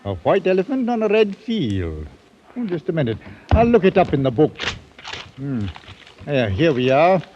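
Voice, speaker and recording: An actor playing a stolid, literal-minded sea captain with a hesitant, matter-of-fact manner in a 1940s radio drama. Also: male voice, a little high, huge pitch swings, normal speed, dull audio. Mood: mild, puzzled. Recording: noisy old radio recording.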